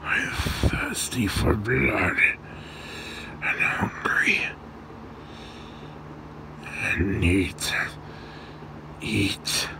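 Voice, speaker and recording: A man voicing a bloodthirsty werewolf fighting his urges, in halting breathy whispers for an ASMR roleplay. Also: on the low side, very animated, exaggerated voice, rough recording. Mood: hungry, menacing. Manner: slow, halting.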